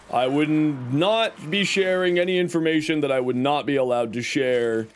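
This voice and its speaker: A young man streaming a game and chatting with viewers, his voice scratchy from a cold, rambling with lots of fillers. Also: mid-range voice. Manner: rambling, reads and answers chat with many fillers.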